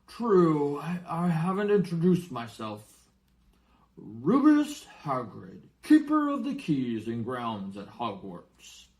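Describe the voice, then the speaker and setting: A man performs an enormous, friendly giant gamekeeper for a storybook. He is gruff, blunt and hearty, booming well above an ordinary talking level in homely rustic speech. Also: huge pitch swings, slow pace, darkish tone, exaggerated voice. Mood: jovial. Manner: booming, rustic colloquial talk. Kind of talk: storybook character voice.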